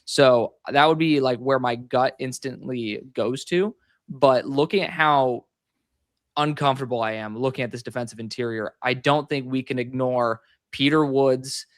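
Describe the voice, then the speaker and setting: A young man talking casually as a guest on a live show, relaxed and thinking aloud with fillers and easy laughs. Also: medium pitch, moderate pace, no echo, clean studio sound. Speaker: guest mock draft analyst.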